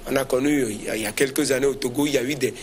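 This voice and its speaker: Male debate panelist, mid-pitched, halting and repetitive delivery, slight noise behind. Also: steady tone. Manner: hesitant, halting delivery with repeated words. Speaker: panelist on a current affairs debate. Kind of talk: spontaneous political commentary in a discussion.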